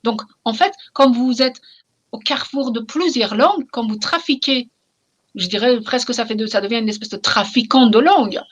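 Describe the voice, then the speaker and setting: A woman's voice: on the high side, breathy edge. A middle-aged woman from Iran, speaking French with a Persian accent, talking passionately and reflectively as a novelist in an interview, often repeating phrases for emphasis. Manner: passionate, emphatic, with repetitions and pauses.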